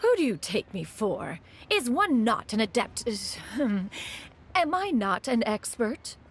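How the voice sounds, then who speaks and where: A gravelly, formal immortal sage. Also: female voice, on the high side, very animated. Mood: composed. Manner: formal, measured and self-assured. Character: composed, archaic-speaking immortal adept woman. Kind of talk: English video game dub. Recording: game audio with music underneath.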